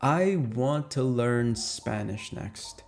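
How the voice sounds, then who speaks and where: A man's voice: medium pitch, expressive, very slow, soft background music. A man with Tagalog as his first language, chatting casually and candidly as an audio creator answering fan questions, playful and free with swearing. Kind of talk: casual Q&A chat.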